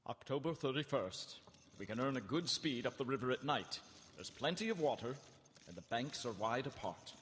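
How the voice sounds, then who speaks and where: An actor playing a resolute young Victorian gentleman on a vampire hunt in a live radio drama. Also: mid-range voice, expressive, moderate pace, lightly acted. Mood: determined. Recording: noisy reverberant hall.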